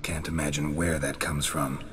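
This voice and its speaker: A man acting a gruff, world-weary monster hunter in a video game, with a gravelly voice and a terse, sardonic, deadpan delivery. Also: moderate pace. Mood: dry, terse. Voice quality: gravelly, low. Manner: laconic, dry, deadpan. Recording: game dialogue over clear background music.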